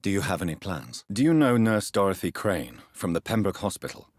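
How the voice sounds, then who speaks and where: Deep husky english gentleman doctor from a video game, polite, grave, kinda probing. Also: big pitch swings, no echo, clean studio sound. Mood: grave, inquiring. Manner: formal, polite, measured, questioning. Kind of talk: video game character dialogue.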